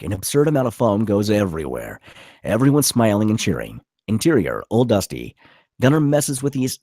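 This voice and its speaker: A man's voice: fairly low pitch, creaky, a little mellow, breathy edge, no room sound, clean studio sound. A man narrating stage directions at a live screenplay table read.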